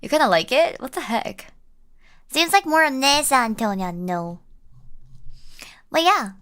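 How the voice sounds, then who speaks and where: A woman streamer chats with her viewers in an unhurried, rambling way. She sounds slightly ill, so her voice comes out rough and lower than her normal, though it still sits fairly high for a woman. She giggles often and trails through fillers. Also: animated, bright, forceful tone. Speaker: live streamer. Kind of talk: casual live-stream chat.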